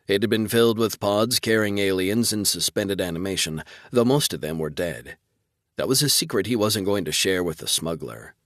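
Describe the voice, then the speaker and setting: A man reading scripted fiction narration in a low voice. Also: no room sound, clean studio sound. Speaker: audiobook narrator.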